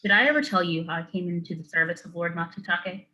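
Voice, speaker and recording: Woman as a brisk, forceful female warrior. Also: fairly low pitch. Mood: curt, steady. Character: composed, terse female warrior in lord's service. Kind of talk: dramatic script reading.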